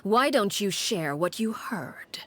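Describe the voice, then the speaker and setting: A woman voicing the stern, measured female head of an orphan house in a game. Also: mid-range voice, a little hiss or hum. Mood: cold, stern. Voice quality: calm, measured, commanding. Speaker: the Father. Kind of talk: game voice acting.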